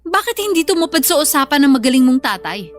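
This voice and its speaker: A woman's voice: high-pitched, big pitch swings, fast talker, faint music behind. An actress playing a cold, blunt, demanding estranged mother, curt and dismissive in a radio drama style. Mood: suspicious, cold.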